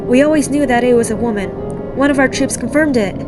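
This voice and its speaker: A high-pitched, earnest space knight played by a woman delivering lines in an audio drama. She sounds urgent, and music plays clearly behind her. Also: quick pace, natural voice. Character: urgent, earnest female Jedi master. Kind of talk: fan audio drama acting. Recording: music bed under voice.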